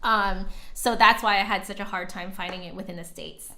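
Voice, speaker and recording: A woman's voice: medium pitch, fairly even intonation, a little fast, bright, forceful tone. A woman with a mid-range voice chats casually as a livestream host. She talks to her viewers in a rambling, giggly way, drifting into asides as she goes.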